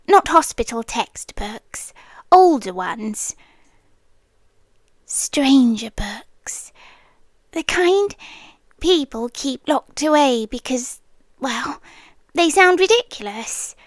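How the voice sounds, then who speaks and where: A softly insistent, secretive British night nurse played by a woman for an intimate ASMR roleplay. The voice is high and hushed, often slipping into whispers. Delivery is very unhurried and possessively doting, captured close and dry. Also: dry recording. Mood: secretive, intrigued. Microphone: close microphone in a dry room.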